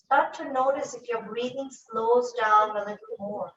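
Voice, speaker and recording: A woman with a slightly high voice guides a breathing meditation, speaking softly and slowly with long pauses between instructions. She sounds set back from the microphone in an echoey room, and the recording is muffled and dull. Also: distant mic. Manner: slow, soft, calm instructions with long pauses. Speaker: yoga teacher.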